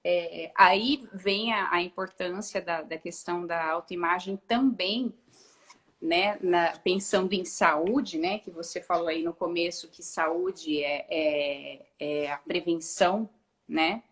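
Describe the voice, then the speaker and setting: A woman's voice: on the low side, takes it slowly, fairly bright tone. A woman talking as a guest in a live-streamed video call, thinking aloud with frequent hesitations and restarts. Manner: reflective, many hesitations and restarts. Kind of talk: casual live-stream conversation.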